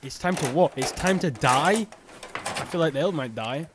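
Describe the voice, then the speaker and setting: A man's voice: fairly high pitch, expressive, moderate pace, noisy background. A man giving casual, exclamatory and incredulous live reaction commentary as host of a reaction video.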